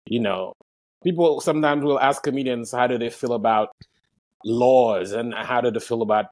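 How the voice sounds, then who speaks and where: A man's voice: medium pitch, moderate pace, a little mellow. A man from Haiti with a Haitian accent, a stand-up comedian talking casually and earnestly about his craft, with the odd curse word. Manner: reflective, earnest, swears casually. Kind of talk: casual conversation.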